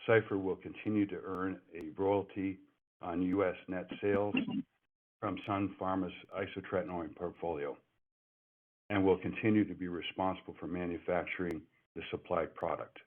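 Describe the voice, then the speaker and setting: A man with a very low voice reads through prepared remarks for a company earnings call. He trips over words now and then and backs up to correct himself. His voice comes through a narrow, tinny phone connection. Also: fairly even intonation, normal speed, slight background noise. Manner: reads from a script with frequent restarts and self-corrections. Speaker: company executive. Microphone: telephone. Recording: phone-line conference call audio.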